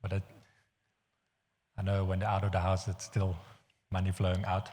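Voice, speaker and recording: A man from the Netherlands with a Dutch accent, preaching a sermon in an earnest, halting way with frequent restarts. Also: sits low, monotone, a little mellow. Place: church hall. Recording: long hall reverb.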